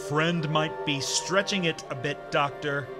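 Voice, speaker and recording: Man acting a gruff commander under loud music. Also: fairly high pitch, unhurried, lightly acted. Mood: irritated, curt. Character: gruff, impatient male space commander. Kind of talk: sci-fi audio drama acting.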